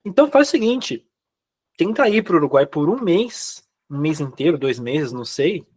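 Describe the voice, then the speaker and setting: A young Brazilian man speaking Brazilian Portuguese, recounting his experiences in a relaxed, chatty way with many fillers and quoted dialogue. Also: on the high side, normal speed, slightly rough. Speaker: guest. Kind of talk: casual interview chat.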